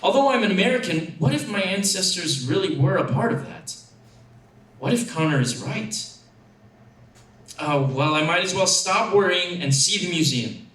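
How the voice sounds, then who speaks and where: A man reads a first-person story dramatically, with expressive, deliberate phrasing. His voice is on the higher side. The room is echoey and he sounds set back from the mic. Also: distant mic. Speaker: actor reading a story.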